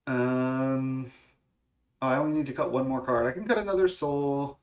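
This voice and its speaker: A man's voice: mid-range voice, normal speed, a little mellow. A young man with a stuffy, congested voice, casually talking through his card game choices and thinking aloud with lots of fillers. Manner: thinking aloud, hesitant with many fillers and restarts. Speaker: gaming content creator.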